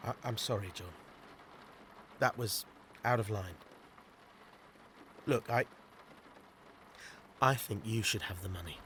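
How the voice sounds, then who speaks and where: Blunt, matey British council worker. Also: male voice, lowish voice, takes it slowly, pauses often, sharp tone, a little hiss or hum. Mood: apologetic. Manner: casual, blunt, colloquial. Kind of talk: naturalistic audio drama acting.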